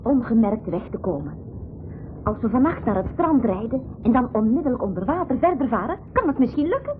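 A woman's voice: on the high side, sing-song, brisk. A woman with a Flemish accent playing a plucky, determined young niece in an adventure radio play. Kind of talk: 1980s school radio play acting. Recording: tinny old recording, faint noise.

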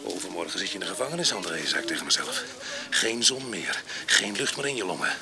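An actor playing a rough, embittered ex-convict bent on revenge, brooding and dramatic in a radio drama. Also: male voice, deep voice, big pitch swings, fast talker, sharp tone, heavily acted. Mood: bitter, vengeful.

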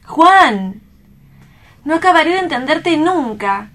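A tender, troubled woman in a radio drama. Her high voice moves through wide swings of pitch as she pleads earnestly and expressively. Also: big pitch swings, slow pace, fairly bright tone, full character voice. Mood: pleading concern. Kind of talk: radio theatre acting.

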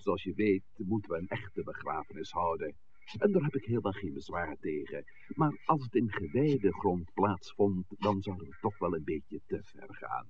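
A wily village priest, animated delivery. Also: male voice, sits low. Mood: calm, courteous. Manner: measured, formal, genteel speech. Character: calm, scheming village priest, wily and courteous. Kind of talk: 1960s Dutch radio play acting. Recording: muffled, faintly noisy 1960s radio recording.

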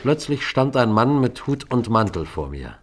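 A man's voice: medium pitch, very animated, moderate pace, slight background noise, close to an everyday voice. A man playing a composed police sergeant who narrates a radio crime drama in a precise, formal manner. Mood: recounting, composed. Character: composed police sergeant recounting an old case.

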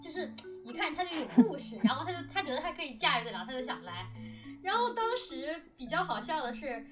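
A woman's voice: high-pitched, very animated, quick pace. A woman chatting casually and animatedly about her work, rambling a little in a self-deprecating way. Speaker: radio drama director and discussion host. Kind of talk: casual chat about making audio dramas. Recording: slightly distant mic, music behind. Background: music.

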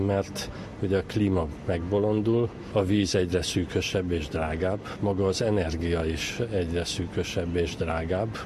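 A man's voice: deep voice, background noise. A man speaking as an expert in an interview, arguing in an emphatic, warning manner. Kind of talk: argumentative spoken interview.

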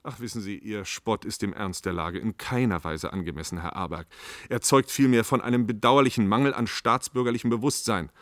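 A man's voice: on the low side, fairly quick, a bit bright, no echo, studio quality, natural voice. A man playing an officious, stubborn government official, formal and pedantic, in a German radio play. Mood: reproachful.

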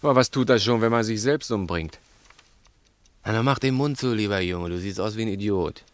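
An actor in a 1960s German radio play playing a cunning, domineering crook who is menacing, insistent and patronising. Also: male voice, on the low side, a little fast, lightly acted. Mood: agitated, mocking. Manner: insistent, theatrical, probing with rhetorical questions.

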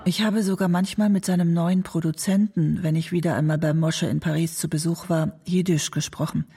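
A man's voice: a little high, level tone, a little fast, warm tone, husky. An actor playing a nostalgic man who recalls a troubled friend in a reflective, conversational radio monologue, caring but disapproving. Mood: reminiscing. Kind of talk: radio documentary drama monologue.

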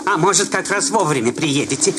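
An actor playing a jealous, grumbling village sexton who nags in folksy, church-tinged speech in a radio play. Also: male voice, a little high, animated, a little fast, very noisy, natural voice. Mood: insistent. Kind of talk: Russian radio play acting. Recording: loud music behind the voice.

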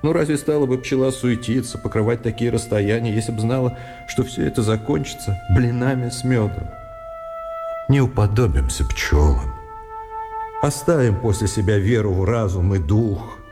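A male actor plays an eloquent, humane man of intellect in a radio play. He philosophizes in lofty, ornate phrases. The voice rings in a long reverb, with music clearly behind it. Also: medium pitch, normal speed, close to an everyday voice. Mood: lofty, solemn. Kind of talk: radio drama acting.

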